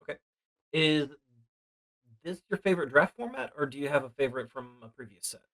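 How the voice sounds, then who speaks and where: A man's voice: on the high side, very animated, fairly quick, fairly bright tone, low-quality recording. A man hosting a podcast, asking casual, conversational questions of a guest. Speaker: podcast host, interviewer.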